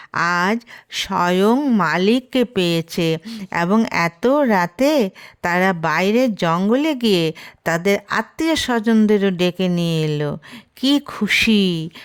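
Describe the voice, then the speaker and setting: A woman reading a short story as scripted narration, expressive and gently wry. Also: on the low side, smooth.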